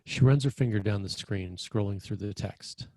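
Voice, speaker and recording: A man's voice: fairly low pitch, normal speed, a little mellow, no echo. A man narrating scripted screenplay action as the stage-direction reader at a table read.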